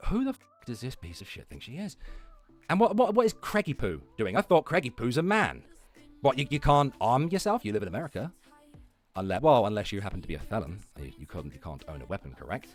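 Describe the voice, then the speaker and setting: A man's voice: medium pitch, sing-song, a little fast, faint music behind. A man hosting a casual livestream, giving profane, irreverent running commentary and rants. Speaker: livestream host.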